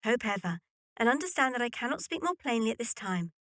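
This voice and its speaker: Period radio play heiress, female actor: highish, dry-sounding voice, self-assured, formal, decided young lady. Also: sing-song, fast talker, fairly bright tone, dry recording. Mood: brisk.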